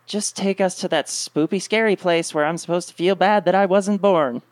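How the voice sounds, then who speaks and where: Woman playing a deadpan, jaded girlfriend. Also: on the low side, normal speed, no room sound, close to an everyday voice. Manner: deadpan, sarcastic. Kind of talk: comedic radio play acting.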